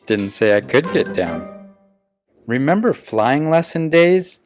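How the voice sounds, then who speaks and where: A man voicing a proud, loyal young boy pelican in an earnest, expressive children's picture book read-aloud. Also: medium pitch, slow. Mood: proud, defensive. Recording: music behind the voice.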